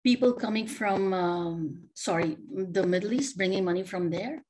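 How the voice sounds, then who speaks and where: A middle-aged woman speaks as a panelist over a video call from a home office. She talks earnestly, piles up rhetorical questions and often starts her sentences over. Her voice sits at an everyday middle pitch. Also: moderate pace, a little mellow. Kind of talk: panel discussion remarks.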